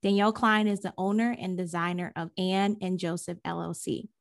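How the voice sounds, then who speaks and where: A woman's voice: on the low side, steady tone, normal speed, creaky. A woman hosting a podcast, welcoming listeners and introducing her guest in an upbeat way. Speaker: podcast host. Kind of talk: podcast interview.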